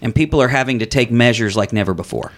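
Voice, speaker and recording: A man's voice: mid-range voice, fast. A man hosting a business radio show from a studio, upbeat and enthusiastic as he welcomes and introduces his guest. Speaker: radio host. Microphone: studio microphone.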